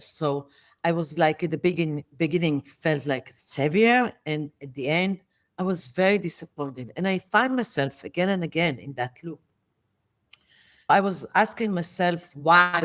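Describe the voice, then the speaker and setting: A middle-aged woman whose first language is Hebrew, a therapist guest telling a personal story in English, often pausing and restarting as she searches for words. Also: lowish voice. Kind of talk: interview answers, personal story.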